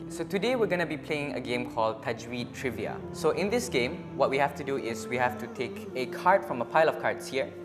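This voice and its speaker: Brisk young man. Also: mid-range voice, animated, big-room sound, music in the background. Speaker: quiz contestant.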